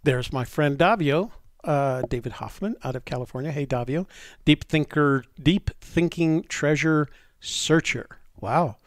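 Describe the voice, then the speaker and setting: An American man in his sixties with a slightly congested voice from a cold, chatting casually and haltingly as a live stream host. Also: on the high side, no echo, clean studio sound. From New Mexico, United States. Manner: halting, often pausing mid-thought. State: stuffy, getting over a cold. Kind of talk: casual live chat, rambling.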